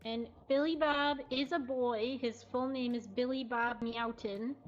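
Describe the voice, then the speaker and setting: A woman's voice: on the high side, steady tone, slow, slight background noise. A giggly woman presenting casually as a livestream host at an indoor cat cafe. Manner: giggly, often chuckling. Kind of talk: casual live presentation and chat. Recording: slightly dull livestream audio, faint music. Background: faint music.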